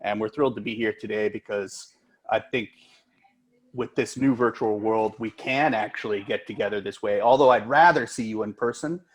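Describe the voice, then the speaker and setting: An American man, an agile coach, co-presenting in a relaxed, chatty way on a video call, with many "uh" fillers. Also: on the low side, normal speed. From United States.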